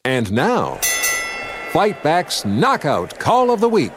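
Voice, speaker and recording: A man doing promo voice-overs for a radio station in a booming, announcing style. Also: wildly swooping pitch, takes it slowly, noisy background. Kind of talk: promo voice-over.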